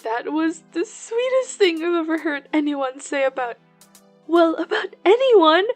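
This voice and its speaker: Woman as Kaname, high-voiced brash teasing girl. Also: a little slow, soft background music. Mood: gushing, teasing. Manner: playful, brash, expressive. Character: Kaname, teasing, foul-mouthed older schoolgirl teammate. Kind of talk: audiobook character acting.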